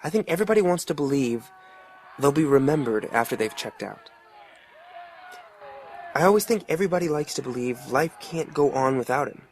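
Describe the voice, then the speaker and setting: A man's voice: on the high side, expressive, fairly quick, long pauses, a little hiss or hum. A man playing a wry, streetwise storyteller, narrating a motion comic in a folksy, reflective, colloquial way. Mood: wry, ominous. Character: wry, streetwise male storyteller musing on death.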